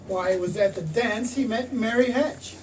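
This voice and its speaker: A patient, heavenly storyteller played by an actor narrates an old-time radio play. The voice comes from some distance, with clear noise over the recording. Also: medium pitch, normal speed, natural voice. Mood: storytelling. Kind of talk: scripted radio drama narration. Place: theater stage.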